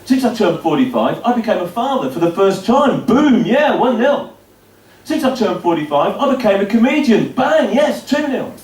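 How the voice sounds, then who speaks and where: A stand-up comic in his fifties, his voice sitting a little high as he delivers dry, self-deprecating stories. He is heard from across a roomy club, caught at a distance with a faint hum of the venue behind him. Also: sing-song, normal speed, a little mellow. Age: 50s. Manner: dry, self-deprecating storytelling with punchy asides. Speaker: stand-up comedian. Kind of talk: stand-up comedy set. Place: comedy club stage before a laughing audience.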